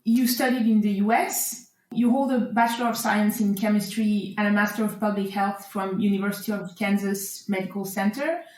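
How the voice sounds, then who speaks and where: A woman hosting a podcast, giving a polished read-out introduction and then asking her guest questions in a clear, articulate way. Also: medium pitch, steady tone, normal speed, a little mellow, reverberant, poor audio. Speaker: podcast host. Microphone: remote recording.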